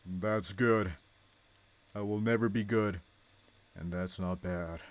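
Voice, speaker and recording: A man's voice: sits low, fairly even intonation, slow pace. A man voicing a menacing, scolding villain principal in a script read-along, commanding and theatrical. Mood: solemn.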